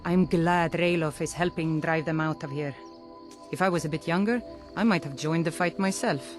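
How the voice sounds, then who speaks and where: A woman's voice: sits low, normal speed, close to an everyday voice. A woman playing a middle-aged, hardworking mill owner in a video game, speaking in an earnest, plain-spoken, opinionated way. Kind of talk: video game character dialogue. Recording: music behind the voice.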